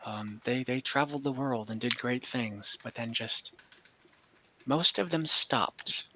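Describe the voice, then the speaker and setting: A game master improvising tabletop game lore, speaking haltingly and searching for words. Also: medium pitch, pushed and bright, muffled recording, slight background noise. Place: online group call.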